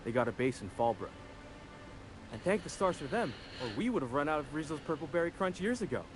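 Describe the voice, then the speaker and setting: A man's voice: on the high side, lively intonation, quick pace, crowd noise, natural voice. An actor playing an eager, folksy young male town greeter who chats freely and is keen to please. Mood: friendly. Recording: game audio with background ambience noise.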